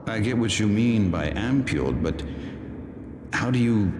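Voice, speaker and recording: Game drama: wry, halting man, deep voice, roomy reverb, noisy ambience. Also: animated. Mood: wry, calming. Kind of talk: theatrical game dialogue. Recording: echoey hall, clear noise and ambience.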